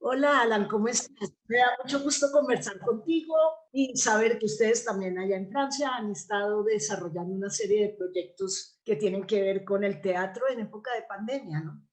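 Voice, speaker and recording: A woman's voice: mid-range voice, normal speed, few pauses, poor audio. A woman answering interview questions in an explanatory, discursive way. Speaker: interview guest, theatre actress and playwright.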